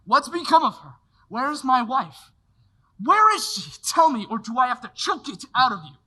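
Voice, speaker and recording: Casting: performer as a desperate family man, lively swinging pitch, bright edge, frantic pleading. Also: moderate pace, low-quality recording. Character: desperate man in his thirties pleading for his old life back.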